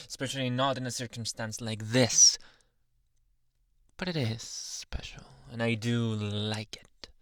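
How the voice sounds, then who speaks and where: Man acting a very slow, creepy possessive anime boyfriend. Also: sharp tone, dry recording, studio quality, heavily acted. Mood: teasing, menacing. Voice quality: breathy whispering mixed with low, creaky voice. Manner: menacing, teasing, drawn-out coaxing endearments. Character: jealous, possessive, unhinged young boyfriend, anime yandere. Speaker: ASMR roleplay performer. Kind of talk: ASMR roleplay, slow intimate speech slipping into whispers. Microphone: close-up ASMR microphone, dry.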